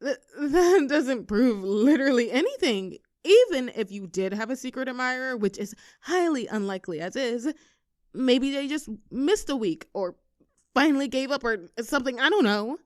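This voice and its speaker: A woman playing a popular high school cheerleader bully with a hidden soft side in an audio roleplay, teasing and sarcastic. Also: lively intonation, moderate pace, no echo, clean studio sound. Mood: flustered, caught out. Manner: teasing, sarcastic, with flustered stammers when cornered. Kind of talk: audio roleplay acting, one side of a dialogue.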